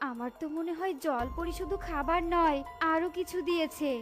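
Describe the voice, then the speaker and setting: A woman's voice: very high voice, normal speed. A woman playing a curious, scheming fox wife with an insinuating manner in a children's cartoon. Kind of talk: dubbed children's cartoon dialogue. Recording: music behind the voice. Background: music.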